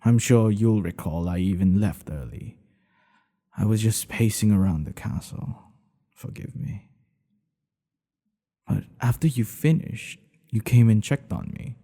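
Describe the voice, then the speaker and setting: A man playing a tender, devoted king in an intimate ASMR roleplay, speaking in an earnest, hushed, halting way. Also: fairly low pitch, big-room sound. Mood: tender, confiding. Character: tender, devoted male monarch hiding a secret lover.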